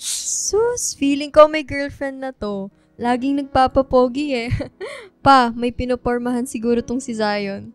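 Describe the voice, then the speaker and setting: A woman with a Filipino accent playing a tomboyish, teasing teenage daughter in a radio drama, with a clear voice. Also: high voice, a little slow. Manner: teasing, bickering. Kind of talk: radio drama acting, casual banter. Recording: music bed under the voice.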